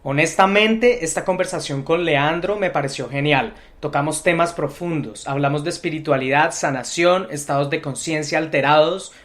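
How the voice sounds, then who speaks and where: A man's voice: a little high, fairly bright tone. A man speaking as a podcast host and interviewer, articulate and earnest in his delivery. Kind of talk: scripted introduction, then conversational interviewing.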